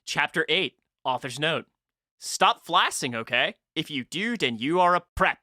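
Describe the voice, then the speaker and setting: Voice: medium pitch, expressive, a little slow, sharp tone, dry recording, clean studio sound. A podcast co-host chatting casually and reading bits aloud, joking and mock-dramatic.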